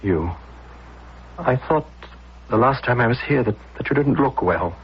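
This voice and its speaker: An actor playing a guilt-ridden, weak-willed son, brooding and confessional, in a radio drama. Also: male voice, medium pitch, animated, a little slow, husky, exaggerated voice. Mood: uneasy. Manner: brooding, confessional, hesitant when challenged. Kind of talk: dramatic first-person narration, radio acting. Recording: dull lo-fi radio recording, loud music.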